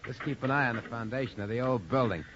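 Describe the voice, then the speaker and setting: An actor playing a brisk, obedient, plain-spoken workman in 1940s radio drama style. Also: mid-range voice, a bit bright, natural voice. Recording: muffled, hissy 1940s radio recording.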